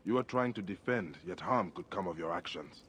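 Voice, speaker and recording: An actor playing a grave, principled Egyptian assassin leader in a video game, speaking in a measured, solemn way. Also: male voice, low voice, animated, a bit bright, breathy edge, full character voice. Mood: stern, measured. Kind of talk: dramatic video game cutscene acting.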